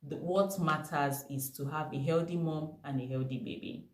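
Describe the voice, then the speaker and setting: A young woman with a Nigerian accent telling a personal story to camera, animated and emphatic. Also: low-pitched, moderate pace. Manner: animated, emphatic retelling with bursts of laughter. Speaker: vlogger. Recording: echoey room.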